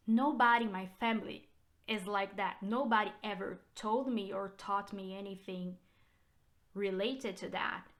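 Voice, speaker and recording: Slow, bright-voiced Brazilian woman. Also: mid-range voice. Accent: Brazilian Portuguese. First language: Portuguese. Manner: unhurried, reflective storytelling. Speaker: realtor and channel host. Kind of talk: personal vlog talk to camera.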